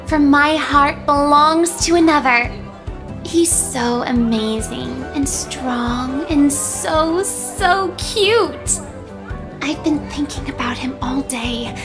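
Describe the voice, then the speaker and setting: A woman voicing a lovesick, obsessive teenage chicken schoolgirl, dreamily narrating a diary in a game cutscene. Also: high voice. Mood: dreamy, lovesick.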